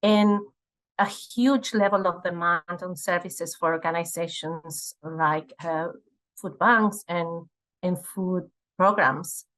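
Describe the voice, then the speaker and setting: An earnest woman from Ecuador in her forties talks through her answers in a lowish voice. She searches for words often, repeating herself and filling pauses as she goes. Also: steady tone, fairly bright tone. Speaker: guest, nonprofit fundraising director. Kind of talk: conversational interview answers.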